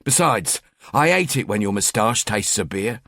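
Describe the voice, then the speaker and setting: A man putting on a sharp-tongued East London wife. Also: lowish voice, expressive, moderate pace, dry recording, studio quality. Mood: scolding. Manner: scolding, sarcastic.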